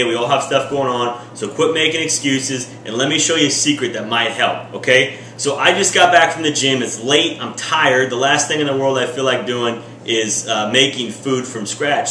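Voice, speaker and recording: A man's voice: medium pitch, sharp tone, recorded from a distance, slight background noise. Upbeat man coaching in a roomy kitchen. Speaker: fitness vlogger. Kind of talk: casual how-to vlog. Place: home kitchen, indoors.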